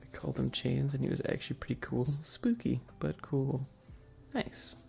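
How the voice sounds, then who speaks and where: A woman's voice: rumbling low, very animated, moderate pace, vocal fry, a little mellow. A woman chatting casually and playfully with viewers on an ASMR livestream, teasing and repeating her questions, close to the microphone.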